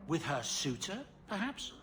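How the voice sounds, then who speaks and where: A man playing a polite, helpful household servant in a video game, gentle in manner. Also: a little high, very animated, unhurried. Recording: faint background ambience noise.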